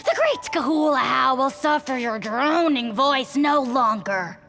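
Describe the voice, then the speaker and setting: Pompous, creaky high-voiced young warrior. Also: female voice, expressive, a little slow, fairly bright tone, soft background music, exaggerated voice. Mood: scornful. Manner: pompous, theatrical. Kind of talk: video game voice acting.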